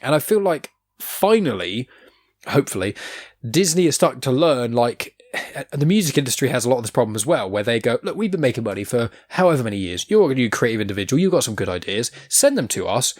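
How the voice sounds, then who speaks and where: A man's voice: medium pitch, big pitch swings, fast. Podcast host, male, chatty and opinionated, mid-range voice. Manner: enthusiastic, opinionated, rambling with restarts. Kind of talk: casual chat.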